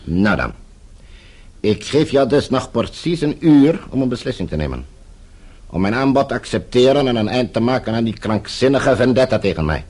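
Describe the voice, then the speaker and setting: A husky, smug, menacing villain. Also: male voice, mid-range voice, big pitch swings, moderate pace, a little mellow. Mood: threatening. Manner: suave, coolly threatening. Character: menacing, smug male foreign embassy villain. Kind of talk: radio play acting.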